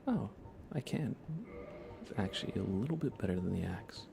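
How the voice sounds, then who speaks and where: A man doing relaxed ASMR game commentary, casually rambling and thinking aloud as he plays. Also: fairly low pitch, normal speed, gravelly, music in the background. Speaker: livestreamer.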